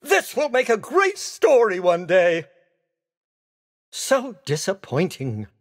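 A man voicing a theatrical, excitable skeleton gentleman in a racing video game, with courteous, exclamatory delivery. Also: sits high, takes it slowly, heavily acted. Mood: dismayed. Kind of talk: video game character voice clips.

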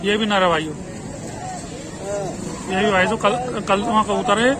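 A man with a Western Uttar Pradesh Hindi accent vlogging from an outdoor pigeon market, with brisk promotional patter that keeps urging viewers on. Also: sits high. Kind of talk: walking market vlog, talking to sellers and viewers. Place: busy outdoor street market. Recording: noisy outdoor market crowd. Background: crowd chatter and traffic.

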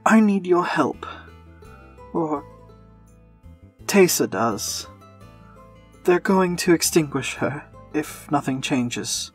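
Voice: medium pitch, expressive, slow, long pauses, soft background music. A performer voicing an anxious, earnest younger man confiding in his lover, in an audio fiction drama. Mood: grave, urgent.

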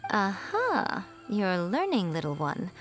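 A woman's voice: mid-range voice, huge pitch swings, slow pace, faint music behind. A woman voicing a sly, composed fox shrine maiden in a video game, with a poised, wry, teasing delivery. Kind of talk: scripted video game character dialogue.

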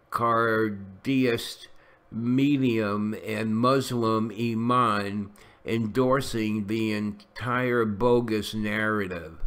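A middle-aged man reading an article aloud in a casual way, stumbling over names and adding little asides. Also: lowish voice. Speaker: channel host reading a news article.

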